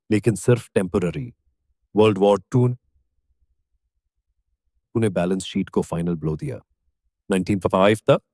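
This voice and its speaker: Indian guy narrating a documentary, lowish voice, kinda bright n crisp, short punchy statements. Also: dry recording.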